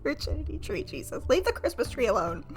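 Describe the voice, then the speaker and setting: A woman's voice: fairly high pitch, fast talker, soft background music. A woman from Florida with an American accent, telling a candid personal story to her live stream chat in a slangy, profane, self-mocking way. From United States, Florida. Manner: animated, self-mocking, acts out quoted dialogue; profane, slangy, heavy use of "like".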